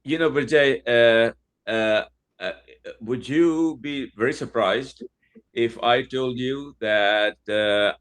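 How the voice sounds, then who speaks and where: A man from India with a fairly low voice talks with his guest in an easy, conversational way. He hesitates often, filling gaps with drawn-out sounds, and now and then chuckles mid-sentence. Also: fairly bright tone. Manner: hesitant, many uh fillers, chuckles while talking. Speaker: interviewer. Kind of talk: conversational interview talk.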